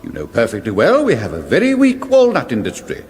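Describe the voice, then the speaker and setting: A man's voice: mid-range voice, huge pitch swings, fairly quick, darkish tone, in a large hall. A British actor playing a complacent, dithering king in a radio comedy, fussy and blustering. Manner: fussy, hesitant, dismissive bluster. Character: dithering, complacent male king, blithely naive. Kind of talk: British radio comedy fantasy parody acting.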